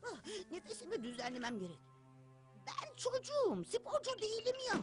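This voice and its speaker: A woman voicing a boastful, scheming boy genius in a dubbed cartoon, grandiose and excitable. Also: very high voice, normal speed, full character voice. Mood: indignant. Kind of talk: cartoon dub acting. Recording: music behind the voice.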